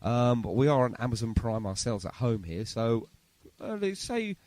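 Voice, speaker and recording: A man's voice: medium pitch, very animated, normal speed, darkish tone. A British man hosting a podcast in a chatty, informal interview style. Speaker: podcast host. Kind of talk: casual interview chat.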